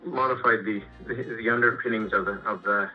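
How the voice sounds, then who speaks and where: A middle-aged Canadian man chatting casually and haltingly about his projects over a muffled phone call, rambling and repeating words. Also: fairly low pitch, fairly even intonation, husky. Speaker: homeowner and sawmill customer. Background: music.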